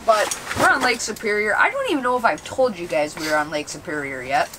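A man's voice: high voice, lively intonation, a little fast, noisy background. A young man narrating his fishing trip to viewers in a casual, upbeat way, filming himself with a handheld camera on a frozen lake. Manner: upbeat, addresses viewers as dudes. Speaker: fishing vlogger. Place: outdoors on frozen lake and inside ice shelter. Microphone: handheld vlog camera at arm's length.

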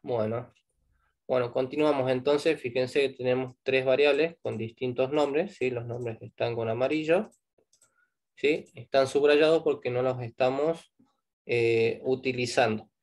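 A man's voice: mid-range voice, fairly even intonation, a little slow, a little mellow. A man with an Argentine Spanish accent teaching a live online programming class, explaining step by step and checking in with "¿sí?" after each point.

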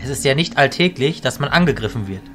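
A man playing a calm, stern and wise mentor in an audio drama, speaking in a measured, firm way. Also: mid-range voice, soft background music, lightly acted. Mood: calm, sympathetic.

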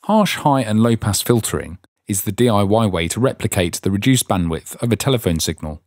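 A man's voice: lowish voice, animated, brisk, no room sound, clean studio sound. A man reading scripted instructional narration as the narrator of a tutorial.

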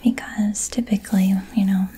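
A young woman whispering softly and gently asking questions as a barber in an ASMR haircut roleplay, recorded close to the mic in a small room. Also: mid-range voice, fairly even intonation, moderate pace, slightly rough. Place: small decorated indoor room. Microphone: close studio mic in front.

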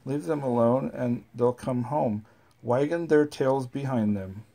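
Gravelly parent reading nursery rhymes. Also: medium pitch, unhurried, dark tone. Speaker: parent reading to a young child. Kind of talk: reading nursery rhymes aloud, chatting with a small child.

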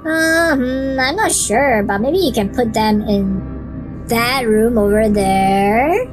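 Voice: mid-range voice, lightly acted, poor audio. An actor voicing a boastful, easily flustered boy in an amateur game roleplay, with hesitant ums. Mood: casual, unsure. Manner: hesitant, drawn-out ums and uhs. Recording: background music under voice.

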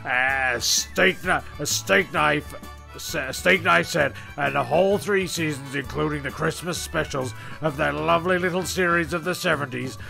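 A man's voice: a little high, gravelly, sharp tone, heavily acted. A man playing a flustered, exasperated narrator of a comic castaway audio serial, rambling and stumbling over his words.

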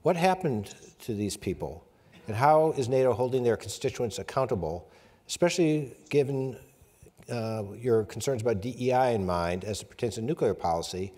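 A man's voice: mid-range voice, lively intonation, moderate pace, warm tone, long reverb tail. An older man moderating a video-call discussion, reading out audience questions.

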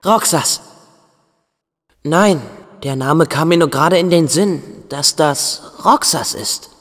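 A man's voice: fairly high pitch, slow, fairly bright tone. An actor voicing an earnest, hot-headed and loyal teenage boy hero in a video game, with a youthful, emphatic delivery. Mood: downcast. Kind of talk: dubbed video game acting.

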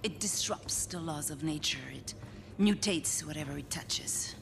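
Besieged female officer, low pitch, stern, terse, embittered, hushed intensity, video game drama, noisy ambience. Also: measured pace, creaky. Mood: sombre. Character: grim, embittered middle-aged female officer leading besieged survivors. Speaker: military commander. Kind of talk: cinematic video game acting.

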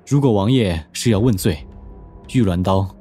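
An actor playing a loyal, resolute cavalry officer with fervent delivery in an audio drama. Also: male voice, fairly low pitch, normal speed, warm tone, husky, faint music behind. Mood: earnest pleading. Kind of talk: Chinese audio drama acting.

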